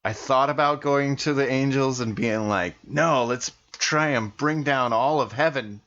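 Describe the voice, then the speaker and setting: A woman's voice: rumbling low, unhurried, a bit bright, lo-fi sound. A woman playing a tabletop game, casually chatting and narrating her character's moves, on a slightly noisy track with clicks.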